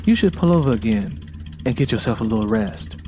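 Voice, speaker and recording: A man's voice: fairly low pitch, animated, a little fast, warm tone, lightly acted. Guy doing a worn-out cranky young woman, audio drama, bit of noise behind. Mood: resigned, questioning. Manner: plain questioning, drowsy and grumbling.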